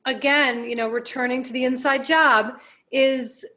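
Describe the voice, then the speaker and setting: A woman being interviewed as a life coach, giving advice in deliberate, emphatic phrases with measured repetition. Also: high voice, fairly even intonation, bright, forceful tone.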